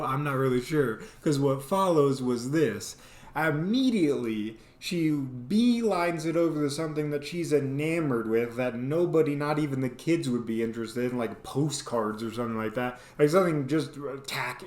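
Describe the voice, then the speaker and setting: A man telling a casual, comedic story in a rambling, animated way, as the host of a vlog. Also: fairly high pitch. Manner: animated, rambling, mimics people in exaggerated voices. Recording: roomy, echoey sound.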